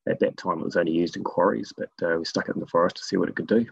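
A man with a New Zealand accent, a guest telling stories about his work in a relaxed, rambling way with many fillers. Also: deep voice, racing, creaky, husky, dry recording, lo-fi sound. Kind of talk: casual interview talk.

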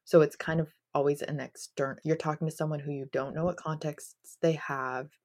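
A woman's voice: sits low, level tone, moderate pace, a little mellow. A woman in a casual podcast discussion, speaking in a matter-of-fact, conversational way. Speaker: podcast host.

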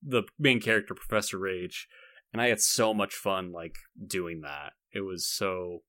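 A young man co-hosting a podcast, reminiscing casually in a halting, rambling way with frequent repeated words. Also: low-pitched, pushed and bright, no room sound, studio quality. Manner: halting, repetitive, rambling delivery. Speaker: podcast co-host.

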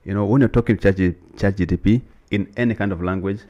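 Deep-voiced man, Swahili native speaker. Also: fairly even intonation, fast talker, mellow, dull audio. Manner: emphatic, frequent "you know" and "yeah, yeah, yeah". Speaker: co-host. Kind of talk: casual chat.

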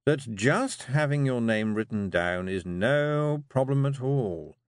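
Brief: polite, deliberate toad, slow and precise, read by a man. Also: medium pitch, expressive, dry recording, clean studio sound. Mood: patient. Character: polite, measured male toad, once a lawyer.

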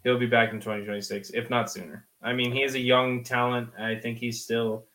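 Male sports podcast co-host, mid-pitched voice, chatty and slangy, explains in casual conversation. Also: flat delivery, a little fast, bright, forceful tone. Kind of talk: casual motorsport discussion.

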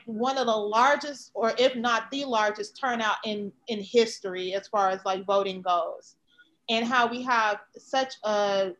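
An American woman from Chicago chatting casually, with an expressive, informal way of talking that leans on slang and swearing. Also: fairly high pitch, rough recording. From Chicago, United States. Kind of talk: casual chat.

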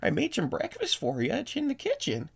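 Casting: elderly hostess played by a man, raised pitch, sugary and clingy, softly insistent, spooky storytelling. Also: wildly swooping pitch, a little fast, gravelly, slightly rough, exaggerated voice. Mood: sweet, hospitable. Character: sweet, clingy old woman innkeeper, overly hospitable.

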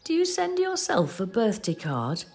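An actress playing a patient, sorrowful female companion in an audio play, speaking in a gentle, measured way. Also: mid-range voice, wildly swooping pitch, husky, faint music behind, heavily acted.

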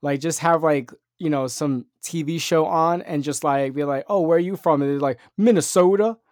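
A man's voice: fairly high pitch, normal speed, darkish tone, dry recording, studio quality. A young man hosting a podcast in loose, rambling casual chat, full of fillers. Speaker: podcast host.

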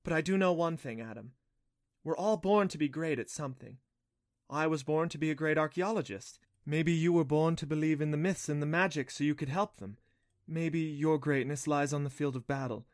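A man's voice: on the high side, normal speed, dry recording, clean studio sound, pitched above the performer's own voice, lightly acted. A man voicing a caring adult mother in a storybook, bewildered and earnest, with a tender, questioning manner. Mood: tender, resolute.

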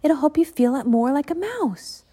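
A woman's voice: high-pitched, normal speed, voice pushed up. A woman voicing an eager, bold young girl in a storybook reading. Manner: excited, urging.

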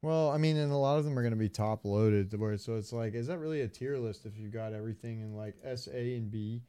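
Clear, dry-sounding man chatting casually. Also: mid-range voice, quick pace, dark tone, no room sound. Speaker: podcast co-host. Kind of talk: casual chat.

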